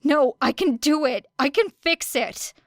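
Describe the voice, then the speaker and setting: A woman's voice: high-pitched, expressive, unhurried, pitched above the performer's own voice. A woman voicing a wounded, stubborn girl fighter in an audiobook, talking in an urgent, curt, snappish way. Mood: desperate, pleading.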